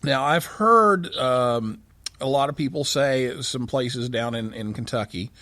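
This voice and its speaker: A man with a medium-pitched voice speaks close and dry, with no room sound. He hosts a call-in show casually, in halting, folksy phrases. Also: bright, forceful tone, dry recording. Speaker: radio show host, science teacher.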